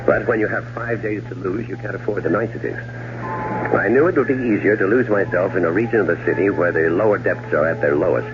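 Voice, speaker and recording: An actor in a 1970s radio drama playing a fastidious, murderous husband who narrates with dry, precise urbanity. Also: male voice, low-pitched, noisy background, close to an everyday voice. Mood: cool, controlled. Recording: muffled old radio broadcast recording.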